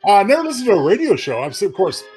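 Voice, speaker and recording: A man's voice: high-pitched, wildly swooping pitch, racing, a little mellow. A man with an American accent chatting casually as co-host of a retro radio show. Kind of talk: casual chat. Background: music.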